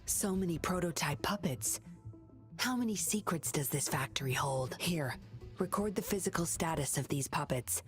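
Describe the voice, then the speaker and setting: A woman's voice: low-pitched, lively intonation, moderate pace, faint music behind. An actress playing a mysterious, scheming young woman in a video game, speaking in a cool, guarded, matter-of-fact way. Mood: cool, focused.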